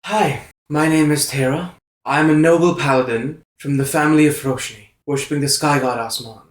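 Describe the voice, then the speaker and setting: A woman's voice: deep voice, fairly even intonation, normal speed. A woman playing a tabletop role-playing game, improvising casually with dry, grumbling banter in a roomy echo.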